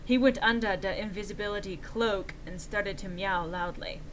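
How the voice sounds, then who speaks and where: A woman's voice: medium pitch, fairly even intonation, normal speed, a bit bright, a little hiss or hum, rough recording. A woman giving a theatrical, exaggerated, mock-earnest dramatic reading of fan fiction as narrator.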